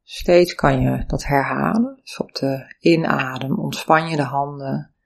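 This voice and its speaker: A meditation teacher leads a guided session at an unhurried, drawn-out pace. The delivery is calm and measured, with a gravelly texture running under each soothing instruction. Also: medium pitch.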